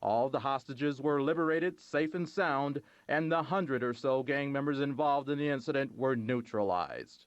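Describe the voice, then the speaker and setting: A man's voice: mid-range voice, normal speed, few pauses, no echo, natural voice. Man doing a stiff tv newsreader, dry dull sound. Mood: formal. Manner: crisp formal news delivery. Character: formal male TV news anchor. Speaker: news anchor.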